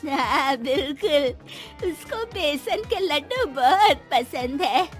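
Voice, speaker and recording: महिला की आवाज़ में भावुक, ऊँचे सुर वाली बूढ़ी माँ. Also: female voice, sits high, lively intonation, slow, soft background music. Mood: excited, doting. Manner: tearful, pleading, tender. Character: elderly widowed mother, doting, emotional, anxious to please. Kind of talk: Hindi moral story dramatisation.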